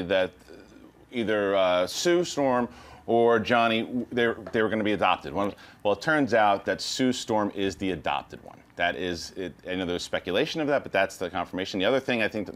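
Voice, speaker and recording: Man, lowish voice, movie news panelist, casual conversational delivery. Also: moderate pace.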